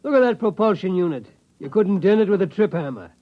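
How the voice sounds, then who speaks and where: A folksy used-spaceship salesman in a fifties radio play. His voice is high and very animated, full of boastful, coaxing patter. The vintage recording sounds dull. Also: brisk. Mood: wheedling, boastful. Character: slick, folksy, fast-talking male used-spaceship salesman. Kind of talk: 1950s radio drama acting.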